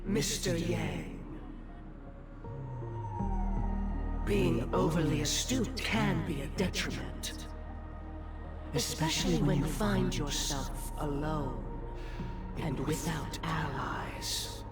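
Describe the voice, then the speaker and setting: A man's voice: on the high side, slow pace. An actor playing a composed, devout man of a powerful order in a video game, formal and reverent with veiled menace. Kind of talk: scripted video game voice acting. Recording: distant voice under loud music.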